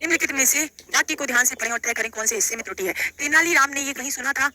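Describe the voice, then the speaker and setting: Bright, sharp-voiced woman teaching. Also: high voice, very fast. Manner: repeats phrases and prompts students with check-in questions; addresses students with casual endearments. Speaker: exam coaching teacher. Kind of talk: live online Hindi grammar lesson, question by question.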